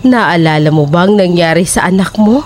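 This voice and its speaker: A woman's voice: fairly low pitch, noisy background, natural voice. A woman playing a sly, taunting, sinister old neighbour in a horror audio drama, drawing out her words mockingly. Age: older. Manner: drawn-out, mocking, cackling between lines.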